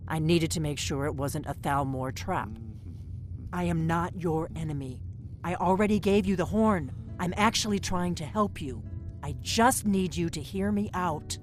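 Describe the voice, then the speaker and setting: A woman's voice: lowish voice, normal speed, soft background music, natural voice. Actress as a cautious female innkeeper spy in a video game; conspiratorial, urgent, faint score underneath.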